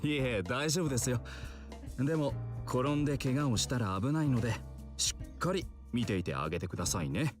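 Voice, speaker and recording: Courteous doctor, visual novel, quick smooth-mannered delivery, composed male actor. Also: mid-range voice, expressive, music in the background, lightly acted. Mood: kindly. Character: outwardly kind male doctor, coolly civil underneath. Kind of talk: Japanese visual novel voice acting.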